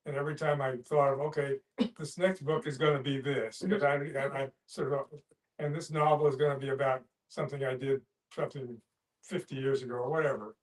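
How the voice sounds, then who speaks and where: An older man chatting in a group, reflecting on himself in a halting way. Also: mid-range voice, level tone, recorded from a distance. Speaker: discussion group participant, writer. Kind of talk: casual group chat. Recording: roomy echo, off the microphone.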